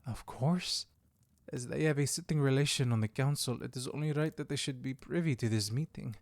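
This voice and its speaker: A man playing a diplomatic elder of a vampire clan in an audio drama, formal, measured and polite, with a creaky voice. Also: mid-range voice, darkish tone, dry recording, close to an everyday voice. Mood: courteous, formal. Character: courteous, diplomatic youthful-looking male elder of vampire clan. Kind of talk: audio roleplay drama acting.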